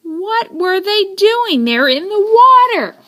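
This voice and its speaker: A woman reading a children's storybook aloud in an expressive, dramatic way. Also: very high-pitched, slow pace. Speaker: storybook reader.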